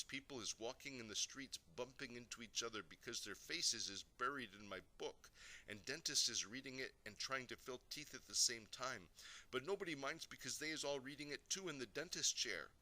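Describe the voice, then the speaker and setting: A man voicing a boastful, excitable young boy telling his dreams in childlike, ungrammatical speech for a storybook. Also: medium pitch, normal speed, poor audio.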